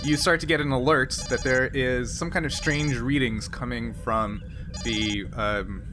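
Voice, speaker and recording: A man's voice: medium pitch, fairly even intonation, unhurried. Male game master over background music. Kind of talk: improvised tabletop game narration. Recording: music playing behind the voice.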